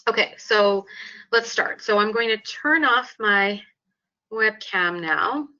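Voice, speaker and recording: A woman's voice: medium pitch, lively intonation, slow pace, pushed and bright. A woman with a Canadian accent, a law lecturer giving an informal webinar presentation with many filler ums. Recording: dull lo-fi webinar audio.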